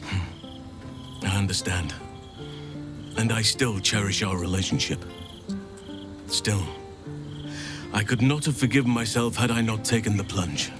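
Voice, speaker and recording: An actor playing a gentle, long-lived druid in a video game, speaking earnestly in a measured, formal, tender way. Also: male voice, on the low side, over music, lightly acted. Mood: gracious resignation. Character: ancient, gentle, earnest male druid confessing love. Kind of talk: sincere video game acting.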